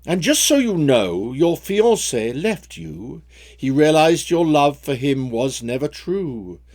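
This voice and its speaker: A man plays an aged storybook king, a stern but kindly and lonely father. He speaks formal rhymed lines at a slow, measured pace, with lively rises and falls in pitch. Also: heavily acted. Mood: frank, gentle. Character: tall old king, stern yet generous, lonely father.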